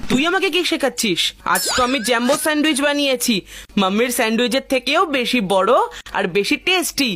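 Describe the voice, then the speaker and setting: A woman's voice: sits high, lively intonation, quick pace, background noise. A woman voicing a cheeky, food-loving little troublemaker in a cartoon dub, cocky and flippant. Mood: boastful. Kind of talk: cartoon dubbing. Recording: loud music behind.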